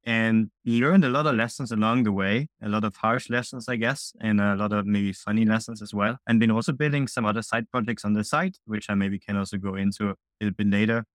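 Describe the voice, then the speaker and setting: Young male founder from Austria, casual and eager, slightly low pitch, dry close mic. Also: fairly even intonation, no room sound. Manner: upbeat, quick, chatty with many fillers. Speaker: guest, indie founder. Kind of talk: casual interview answers.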